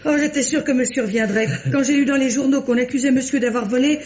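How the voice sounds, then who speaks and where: Woman acting a high-pitched, gossipy old housemaid. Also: steady tone, fast talker, a little mellow, natural voice. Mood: joyful, fussing. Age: older. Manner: chatty, folksy, exclamatory. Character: devoted, gossipy old housemaid, excitable and plain-spoken. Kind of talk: vintage French radio drama acting. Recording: dull old radio recording.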